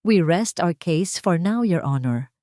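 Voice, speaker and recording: A woman narrator plays a confident Filipino male lawyer in an audio story, accusing and theatrical. The delivery is formal courtroom rhetoric with animated swings in pitch, recorded dry. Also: unhurried, no room sound.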